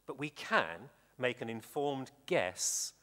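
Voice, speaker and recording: A man preaching a sermon in a measured, deliberate way with dramatic emphasis, his voice echoing in a hall. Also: medium pitch, animated, a bit bright. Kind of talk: sermon with scripture reading. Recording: reverberant hall, long echo.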